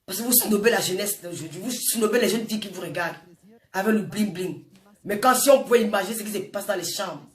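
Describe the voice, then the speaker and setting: A woman's voice: medium pitch, quick pace. A woman in her forties with a Francophone African accent delivers an informal, impassioned monologue, scolding emphatically and repeating phrases for effect. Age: 40s. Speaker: social media personality addressing viewers. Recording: echoey room, distant microphone.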